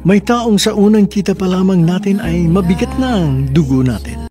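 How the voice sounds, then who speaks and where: A male radio drama host with a fairly high voice. He narrates in a measured, sentimental way, reading from a script. Music plays clearly underneath.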